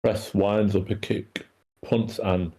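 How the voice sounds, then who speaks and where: A man's voice: fairly low pitch, level tone, takes it slowly, mellow. A man reading screenplay action lines aloud as a narrator at a live table read.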